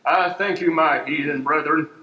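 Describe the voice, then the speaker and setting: A man's voice: fairly high pitch, normal speed, highs cut off, close to an everyday voice. A man playing a God-fearing gunslinging parson in an improvised Western radio play, declaiming solemnly in folksy frontier phrases on an echoey, noisy stage. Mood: solemn, gracious. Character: God-fearing male gunslinging parson of the Old West, righteous. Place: live improv stage with audience. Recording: echoey stage hall, background noise.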